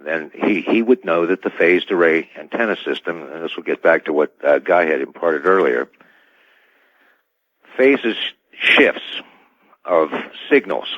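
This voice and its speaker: A man speaking as an expert radio guest, giving halting technical explanations over a dull phone-in line. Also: sits low, animated, no room sound. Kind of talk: technical explanation in live interview.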